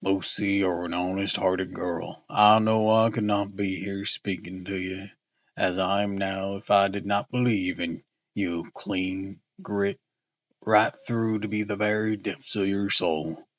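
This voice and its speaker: A man voicing an earnest, gallant young male suitor in a slow, hearty, folksy way, in a dull, dry recording. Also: low-pitched, fairly even intonation, no room sound, pitched below the performer's own voice.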